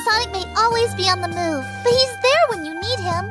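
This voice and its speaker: A woman's voice: very high-pitched, expressive, a little fast. A woman playing a sweet, lovesick teenage hedgehog girl in a fan radio play, over music. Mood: reassuring. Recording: music behind the voice.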